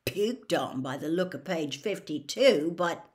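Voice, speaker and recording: A woman's voice: low voice, expressive, a little slow. A woman voicing an envious, grumbling teenage boy in a storybook, blunt and exasperated.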